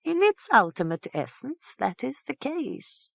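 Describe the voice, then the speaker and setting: An audiobook narrator voicing a sly, scheming priest who talks in a smooth, ingratiating way. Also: high voice, very animated, measured pace, a bit bright, dry recording, lo-fi sound, pitched above the performer's own voice, exaggerated voice. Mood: unctuous. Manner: smooth, ingratiating, bleating when alarmed.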